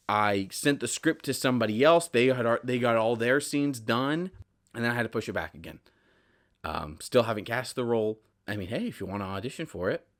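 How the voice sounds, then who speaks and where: A man's voice: mid-range voice, fairly bright tone. A man hosting a solo podcast in a casual, candid, rambling monologue, often interrupting and correcting himself. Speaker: podcast host, audio drama writer.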